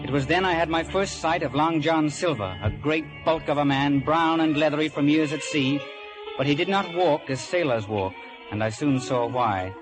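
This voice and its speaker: A man's voice: on the high side, moderate pace, over music, natural voice, poor audio. An actor playing an earnest adventurer who narrates his own tale in a dramatic radio style. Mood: earnest, suspenseful. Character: earnest Jim Hawkins as storyteller, looking back on adventure.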